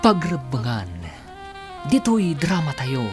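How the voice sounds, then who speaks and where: A man announcing a radio drama from a script, with dramatic, drawn-out emphasis. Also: fairly high pitch, big pitch swings. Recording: prominent music under the announcement.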